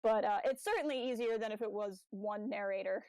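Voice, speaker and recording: A woman's voice: mid-range voice, fairly quick, darkish tone, dry recording, rough recording. A woman co-hosting a podcast, talking through a review in a casual, conversational style. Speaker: podcast co-host.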